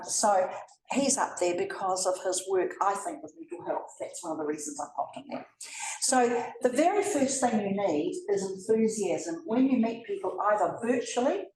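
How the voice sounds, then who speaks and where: A woman's voice: medium pitch, slight background noise. New Zealand woman speaking in a roomy venue. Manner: conversational, lively, with asides to the audience. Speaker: presenter. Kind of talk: public talk to a live audience. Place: event room. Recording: roomy, from a distance.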